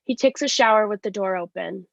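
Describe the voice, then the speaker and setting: Woman, stage directions reader, scripted narration, gravelly fry, muffled lo-fi recording. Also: mid-range voice, fairly quick.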